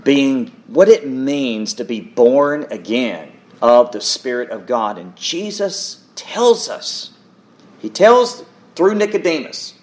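A man with a mid-pitched voice preaches from scripture. He is earnest and emphatic, repeating key words to drive them home and turning to his listeners with short rhetorical questions. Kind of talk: sermon with scripture reading.

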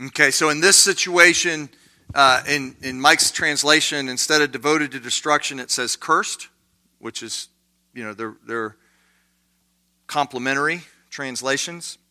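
Guy teaching bible study, lots of ums and asides, sharp bright voice. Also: a little high, a little slow. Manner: conversational, with many fillers and asides.

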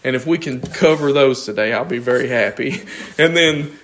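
A man's voice: medium pitch, normal speed, fairly bright tone, slight background noise. A man, losing his voice, teaching an informal Bible class in a conversational, thinking-aloud way and asking the class questions.

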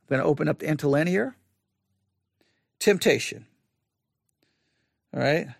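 A man leading a Bible study, thinking aloud in a halting way and often repeating words as he works through an idea. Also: on the high side, expressive, vocal fry, no echo, studio quality.

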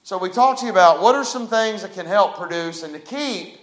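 Booming folksy preacher, echoey sanctuary. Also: male voice, animated. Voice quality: loud booming voice. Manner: folksy, rambling with fillers, reads scripture slowly. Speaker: pastor. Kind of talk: midweek sermon. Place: church sanctuary, livestreamed.

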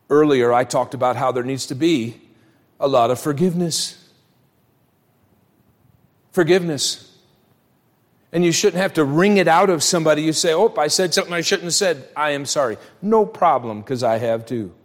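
Man preaching emphatically in a reverberant hall. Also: medium pitch. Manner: emphatic, direct address with rhetorical questions; acts out imagined dialogue. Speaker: pastor preaching. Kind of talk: church sermon.

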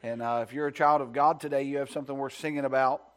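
A man pastor preaching a sermon in a church sanctuary, reading scripture and praying, at times hushed and at times rising with fervor. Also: steady tone, fast talker. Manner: builds to loud, high-pitched preaching fervor.

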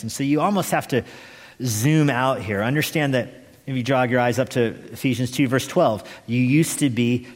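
Male preacher in an echoey hall. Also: mid-range voice. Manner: emphatic repetition with deliberate pauses. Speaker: pastor. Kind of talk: expository sermon. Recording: echoey hall with long reverb.

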